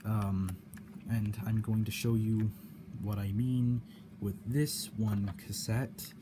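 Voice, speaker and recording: A man giving a casual explanation in a hobby vlog, halting and often repeating his phrases. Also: lowish voice, fairly even intonation. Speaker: cassette hobbyist demonstrating a problem. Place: indoor room at home. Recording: clear background noise.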